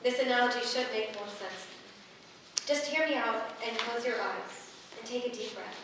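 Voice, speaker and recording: A woman playing a steady, encouraging young heroine with water powers, speaking earnestly and deliberately in a staged reading. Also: fairly high pitch, recorded from a distance, close to an everyday voice. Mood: coaxing, calm. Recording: echoey room from afar, faint noise.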